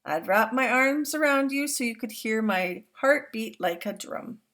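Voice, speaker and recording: A woman reading the lines of a loving father who gently reassures his son, from a picture book. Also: on the high side, animated, measured pace, pitched above the performer's own voice. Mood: tender. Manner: tender, reassuring promises. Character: loving Maasai father, steady and protective. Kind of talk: read-aloud picture book.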